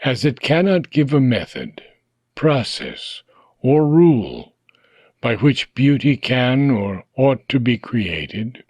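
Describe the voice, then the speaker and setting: A man reading a philosophical book aloud for an audiobook, in slow, measured phrases that trail off at their ends. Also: mid-range voice, very animated, darkish tone, husky, no echo.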